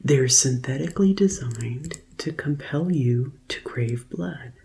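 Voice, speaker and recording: A woman doing a soft-spoken, often whispered ASMR roleplay as a gentle, deliberate receptionist attending to a customer. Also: a bass voice, vocal fry, a little hiss or hum. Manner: slow, gentle, deliberate customer-service delivery. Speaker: ASMR performer playing a vampire conversion receptionist.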